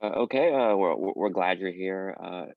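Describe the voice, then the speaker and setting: A man improvising a polite job interviewer in a comedy sketch, halting and diplomatic, in a slightly dull recording. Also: deep voice, vocal fry. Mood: polite, hesitant.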